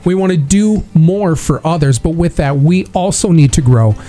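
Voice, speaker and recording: A man's voice: a little high, normal speed, mellow. A man hosting a live local radio interview, eager and prone to restarting his words, with a slightly dry voice. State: dry voice, clearing throat. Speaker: radio show host.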